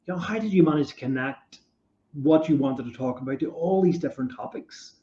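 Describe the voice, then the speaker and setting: A man's voice: on the high side, brisk, dark tone. A man hosting a podcast interview, talking with enthusiasm and rambling through his questions with many fillers. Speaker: podcast host. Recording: dull roomy sound.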